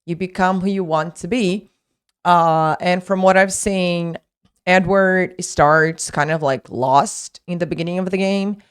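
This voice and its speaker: Slow, chatty woman teaching English. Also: lowish voice, fairly even intonation, clear, resonant tone. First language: Portuguese. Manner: chatty, many fillers and restarts. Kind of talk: casual online English lesson.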